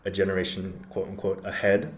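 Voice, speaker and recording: Deep-voiced man in a roomy space. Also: completely flat delivery. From United States. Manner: thoughtful, measured, with frequent ums and self-corrections. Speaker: podcast guest. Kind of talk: casual conversation. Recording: roomy echo.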